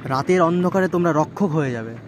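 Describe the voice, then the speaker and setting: A man performs a menacing temple shadow spirit in an audio horror story. The voice sits fairly high and hands down slow, ominous threats. Background ambient noise runs under the words. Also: lively intonation, close to an everyday voice. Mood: ominous menace.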